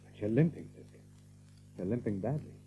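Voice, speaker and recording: A male actor playing a steady, protective, worried rabbit leader in a hushed, earnest manner. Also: fairly low pitch, fairly quick, soft background music, natural voice. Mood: concerned. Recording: tinny narrow-band audio with hum.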